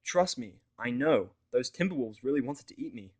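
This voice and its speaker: A man's voice: medium pitch, expressive, moderate pace, close to an everyday voice. A man voicing a moody, stubborn, sarcastic human man in a fan fiction audio story, with curt delivery. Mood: sarcastic, incredulous. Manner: curt, sardonic, swearing.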